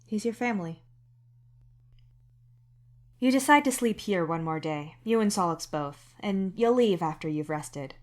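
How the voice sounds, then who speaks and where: A woman's voice: fairly low pitch, animated, a little slow, pauses often. A woman reading scripted second-person story narration as the narrator of a fiction podcast. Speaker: podfic narrator and author.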